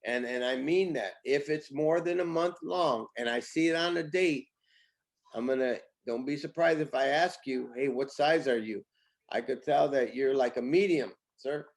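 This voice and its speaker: A man speaking as a company boss in a casual interview, pitching with emphatic, repetitive phrasing. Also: normal speed, vocal fry. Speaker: company CEO, interview guest.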